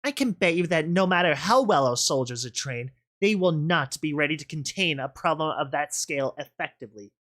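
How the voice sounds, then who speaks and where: A menacing female pony boss from a dark story reading, acted out by a man. The voice is raised in pitch and pushed louder. She is blunt and commanding, with a sneering, sarcastic edge. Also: animated, brisk, few pauses. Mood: stern, scornful. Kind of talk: dramatic audio fan-fiction reading.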